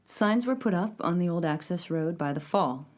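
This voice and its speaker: A distinctly low-pitched woman, an actress as a composed official in an audio drama. She answers like an interviewee, measured and matter-of-fact. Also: lively intonation, darkish tone, a little hiss or hum, lightly acted. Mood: composed, reflective. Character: composed female official giving a sober interview.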